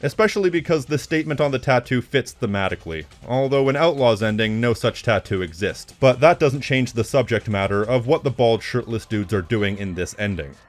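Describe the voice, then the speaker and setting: A man's voice: medium pitch, lively intonation, brisk, few pauses. A man hosting a video essay on games, giving casual scripted commentary in a wry, conversational manner.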